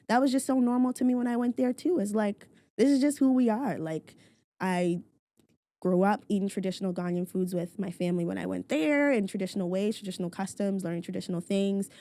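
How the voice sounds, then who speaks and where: A woman's voice: medium pitch, warm tone. A young woman from Nova Scotia, Canada, chatting as a podcast guest, often saying "like" and laughing as she talks. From Halifax, Nova Scotia, Canada. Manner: frequent "like" fillers, laughs while talking. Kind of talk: casual conversational interview.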